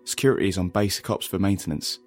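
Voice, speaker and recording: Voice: deep voice, fast talker, a little mellow, faint music behind. An actor playing a blunt but protective rebel ally in an audio drama, speaking earnestly. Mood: matter-of-fact.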